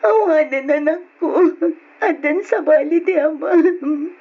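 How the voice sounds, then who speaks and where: High-voiced, anguished wife and mother. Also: slow. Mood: sorrowful, gentle. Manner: tearful, pleading, emotional. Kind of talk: old radio drama acting. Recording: muffled old radio recording with noise.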